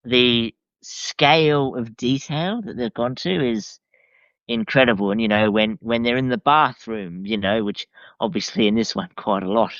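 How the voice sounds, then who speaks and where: A man's voice: mid-range voice, measured pace, a bit bright, dry recording, dull audio. A man reviewing as a podcast guest in a casual, hesitant way, often restarting his phrases.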